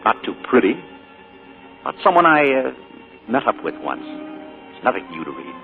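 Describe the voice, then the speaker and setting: An actor in 1940s radio acting, playing a charming, secretive uncle who turns sharp when crossed, on a tinny old broadcast. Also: male voice, fairly low pitch, wildly swooping pitch, moderate pace, faint music behind. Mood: soothing. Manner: genial, then sharp and forceful when crossed. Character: smooth, secretive male uncle with a menacing edge.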